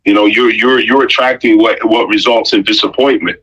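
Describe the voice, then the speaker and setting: A man's voice: on the low side, steady tone, a little fast, a bit bright, breathy edge, muffled recording. A man giving an opinionated, conversational monologue, blunt and emphatic, with rhetorical questions and pointed repetition. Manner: blunt, profane slang.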